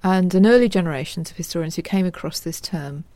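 A woman historian explaining her subject as an interview guest in a measured, scholarly manner. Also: lowish voice, mellow, dry recording.

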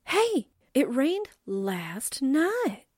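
A naive, eager female newspaper sidekick, played by an actress in an old-time radio drama style. She has a fairly high, very animated voice and a slow, wide-eyed, folksy delivery. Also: dry recording, studio quality, full character voice. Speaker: voice actor in an audio drama. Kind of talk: 1940s radio drama acting.

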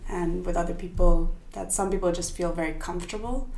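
A young woman from Sweden talks as a podcast guest, her voice sitting a little low. She thinks aloud, with fillers and restarts, and the sound carries a roomy echo. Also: fairly even intonation, brisk, vocal fry, darkish tone, a little hiss or hum. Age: young adult. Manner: thoughtful, many fillers and restarts. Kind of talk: casual interview.

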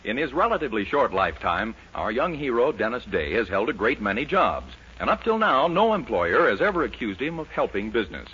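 Bright, animated American radio announcer man. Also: mid-range voice, a little fast. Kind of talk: 1940s radio announcing and ad copy. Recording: dull vintage 1940s radio recording, music behind.

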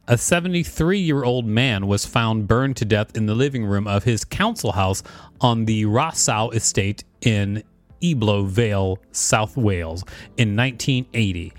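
A man co-hosting a show, reading an encyclopedia article aloud and dropping in casual, playful asides. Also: mid-range voice.

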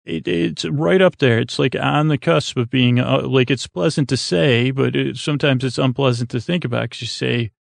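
Very gravelly, rambling bedtime podcast man. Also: medium pitch, no echo, clean studio sound. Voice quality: very creaky, gravelly. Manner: meandering, halting, trails off and circles back, whimsical. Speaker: podcast host.

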